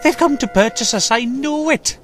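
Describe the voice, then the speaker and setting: A man's voice: extremely high pitch, wildly swooping pitch, normal speed, background noise, voice pushed up, exaggerated voice. A man voicing an anxious, pleading young male little steam engine in a children's railway storybook, with a wailing, fretful manner. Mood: panicked wailing.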